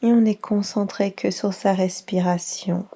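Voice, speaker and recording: Female relaxation guide for kids, very slow and mellow, soft delivery with long pauses. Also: medium pitch, steady tone, vocal fry. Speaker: yoga nidra guide.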